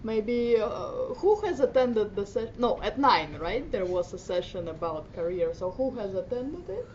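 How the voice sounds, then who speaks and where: Middle-aged woman from Finland, halting conference speaker, dull recording with a low rumble. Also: sing-song, darkish tone. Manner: hesitant, many fillers, plain delivery. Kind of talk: conference talk. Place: conference room. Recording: muffled conference audio with faint rumble.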